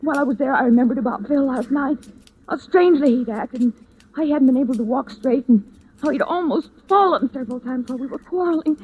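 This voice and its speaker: An actress playing an anxious young woman in a 1940s radio suspense drama, halting and urgent, on a noisy old recording. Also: high-pitched, phone-quality sound, close to an everyday voice. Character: anxious young woman pleading her innocence.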